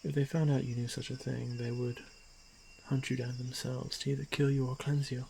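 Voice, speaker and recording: A stoic orc warrior, acted by a man in a fantasy ASMR roleplay, honor-bound and gently protective. His voice is very creaky and breathy, delivered in formal, halting phrases with frequent pauses. Clear night noise of crickets and insects sits behind him. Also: medium pitch, flat delivery, lightly acted. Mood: solemn, firm. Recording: noisy track with night insect ambience. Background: crickets and insect ambience.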